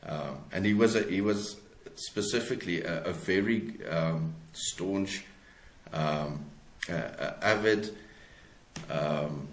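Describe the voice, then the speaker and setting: A man in his forties with a South African accent, talking reflectively as an interview guest in a hesitant, halting way. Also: low voice, roomy sound. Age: 40s. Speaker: guest author.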